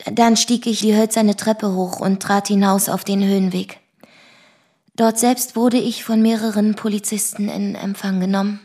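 An actress playing a composed, formal woman who gives a measured, matter-of-fact witness account in a radio play. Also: medium pitch, fairly even intonation, warm tone, lightly acted. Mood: composed, sober. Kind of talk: radio play narration, scripted. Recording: music behind the voice.

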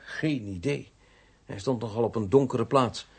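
A shaken male vicar with a husky, unclear voice. He speaks haltingly and politely, groggy and apologetic, in radio crime drama style. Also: medium pitch, darkish tone. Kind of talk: radio play acting.

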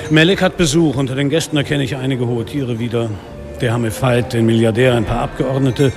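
An actor playing a weary, sardonic middle-aged police inspector, narrating a noir radio drama in a dry, laconic way. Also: male voice, medium pitch, steady tone, fairly quick, gravelly, close to an everyday voice. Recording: music behind the voice.

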